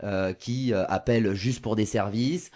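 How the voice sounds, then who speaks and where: A man's voice: lowish voice, measured pace, darkish tone. A man in his thirties hosting a live talk show, asking questions with many fillers and hesitations. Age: 30s.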